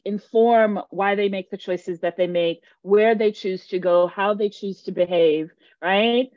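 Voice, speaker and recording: A woman's voice: mid-range voice, dark tone, no echo, muffled recording. A woman from Jamaica leading an online workshop, speaking earnestly and emphatically and often checking in with her listeners. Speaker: workshop facilitator. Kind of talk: teaching a live online workshop.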